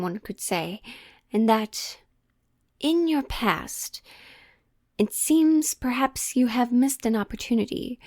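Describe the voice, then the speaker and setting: A woman playing a witch who reads tarot in an audio roleplay, mysterious and teasing, speaking deliberately and theatrically to the listener. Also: on the high side, no room sound, studio quality. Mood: knowing, solemn. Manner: slow, deliberate, theatrical, with pondering pauses.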